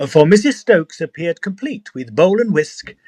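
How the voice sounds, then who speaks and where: Man playing a husky, pompous gentleman investigator. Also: sits high, sing-song, normal speed. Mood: dry, wry. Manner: pompous, dry, commanding. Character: brisk, sceptical gentleman ghost investigator. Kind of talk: narrated period audio drama.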